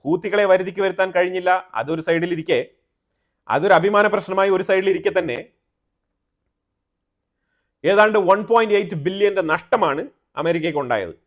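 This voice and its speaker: Malayali guy doing news commentary, talks really fast, kinda high voice, repeats himself and drops in english words. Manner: repeats and rephrases phrases, mixing in English terms. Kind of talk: explanatory news commentary to viewers.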